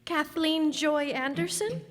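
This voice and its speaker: A prim, self-important little schoolgirl, voiced by a woman in a staged radio comedy. The voice is high and unhurried, each word placed with care. Long reverb from a hall trails behind it. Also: slight background noise. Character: young girl, fourth grader, prim and theatrical. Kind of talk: amateur old-time radio play performance.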